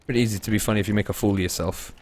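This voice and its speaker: A man commentating solo on a live game stream, mixing play-calling with casual chat to viewers and shifting between excited and low-key delivery. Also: very fast. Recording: noisy with game gunfire and clicks. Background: game gunfire and clicks.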